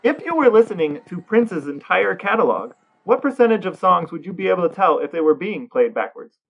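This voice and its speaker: A man's voice: high voice, very animated, fairly quick, gravelly. An American man from Ohio chatting casually and asking questions as a podcast co-host. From Ohio, United States. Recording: dull lo-fi audio with music.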